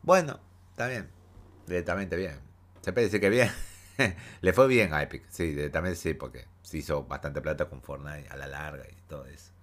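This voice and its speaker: A man's voice: very deep voice, normal speed. A man hosting a casual gaming news podcast, chatty and hesitant, with a head cold and a stuffy nose. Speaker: podcast host.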